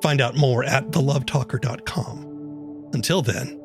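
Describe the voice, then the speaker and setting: A man's voice: mid-range voice, a little slow, gravelly, music in the background. A man, an audio drama producer, giving a scripted promo introduction in an orderly presenting manner.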